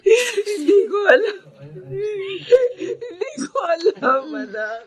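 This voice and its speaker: A woman's voice: extremely high pitch, sing-song, very slow, a crowd in the background, full character voice. A woman playing a grief-stricken, newly widowed poor mother, crying in a melodramatic radio drama. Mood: tearful despair.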